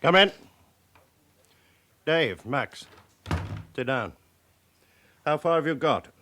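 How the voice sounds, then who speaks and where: Senior male inspector, radio drama: terse, questioning, sceptical, lively pitch movement. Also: slow pace, a little hiss or hum, exaggerated voice. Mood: sceptical, stern. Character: sceptical senior police chief inspector, exacting. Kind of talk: naturalistic radio drama acting.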